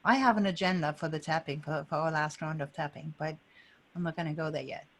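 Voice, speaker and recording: A woman's voice: low-pitched, fairly quick. A woman hosting an online group coaching session, talking casually to the participants over a video call.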